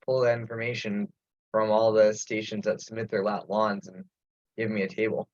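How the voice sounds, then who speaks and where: A man's voice: fairly low pitch, level tone, normal speed, a little mellow, no room sound. A man speaking frankly and matter-of-factly in an informal remote committee discussion, over muffled audio. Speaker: committee member. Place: remote conference call.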